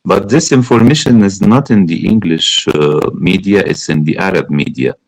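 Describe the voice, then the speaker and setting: A man hosting a conversational interview, speaking haltingly with repeated starts. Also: low-pitched, no echo, low-quality recording. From Egypt. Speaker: interviewer, film critic.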